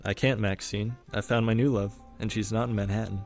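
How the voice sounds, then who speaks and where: A man's voice: lowish voice, steady tone, a little fast, soft background music, close to an everyday voice. A young man playing an aloof, romantic student who dreams of jazz radio, understated and wry, in an amateur radio drama. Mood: resolute, wistful.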